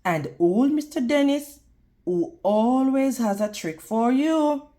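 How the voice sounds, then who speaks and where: A woman reading a storybook as a cheerful, big-hearted old grandmother who gently chides. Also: a little high, lively intonation, takes it slowly, voice pushed up. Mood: upbeat, chiding.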